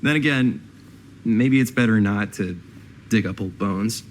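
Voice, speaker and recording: A man's voice: fairly low pitch, unhurried, a little hiss or hum, close to an everyday voice. An actor playing an easygoing but cautious young American man in a video game, speaking in a casual, slightly hesitant and wry way. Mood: wary. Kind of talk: naturalistic video game acting.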